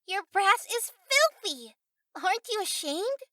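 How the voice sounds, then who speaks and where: A woman's voice: extremely high pitch, sing-song, slow pace, bright, forceful tone, dry recording, clean studio sound, heavily acted. A woman playing a bossy, cheeky little girl in a children's storybook reading. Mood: scolding. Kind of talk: children's storybook character acting.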